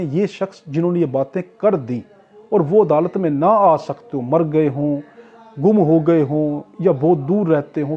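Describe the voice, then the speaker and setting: Dull lo-fi recording, Pakistani man teaching. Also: a little high. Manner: didactic, repetitive, asks questions and answers them himself. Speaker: law tutor. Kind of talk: informal exam-prep lecture, explaining clause by clause.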